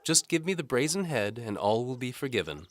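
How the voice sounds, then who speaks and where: A man's voice: mid-range voice, very animated, fairly quick, pitched above the performer's own voice, lightly acted. A man playing a pompous, menacing, indignant bishop who schemes in an audio drama. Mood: cooing. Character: pompous scheming bishop, snarling and cooing, easily outraged. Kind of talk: audio drama character acting.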